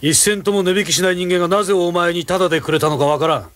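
A man's voice: high voice, steady tone, quick pace, fairly bright tone. A middle-aged man dubbing film characters, stern and commanding, often menacing. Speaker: voice actor dubbing film roles. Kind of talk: film dubbing, dramatic acting.

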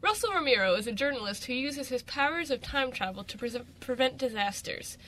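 A woman's voice: high voice, lively intonation, fairly quick, bright, forceful tone, poor audio. A young adult woman reading a scripted story recap aloud as narration. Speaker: student radio show cast member reading the recap.

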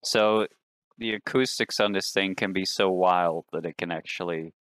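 A man's voice: deep voice, moderate pace, a bit bright, clear, resonant tone. A man playing a tabletop game, casually asking questions as a player.

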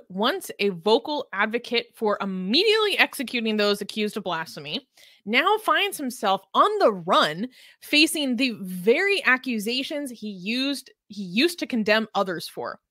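A woman's voice: a little high, sharp tone, dry recording. A woman in her twenties reading scripted news as a presenter, close on a desk microphone. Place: home room with bookshelves.

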